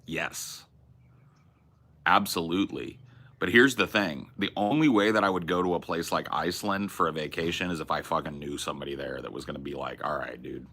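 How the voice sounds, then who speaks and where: Streamer host, low and punchy, profane rambling chat. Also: male voice, brisk, sharp tone. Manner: rambling, profane, restarts and self-corrections. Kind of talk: casual livestream chat reading viewer messages.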